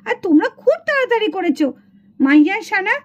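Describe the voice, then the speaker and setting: A woman's voice: very high-pitched, very animated, fast. An encouraging lady schoolteacher doll, put on by a woman. She praises and instructs in puppet-show style, on a slightly dull recording. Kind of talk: children's puppet show dialogue.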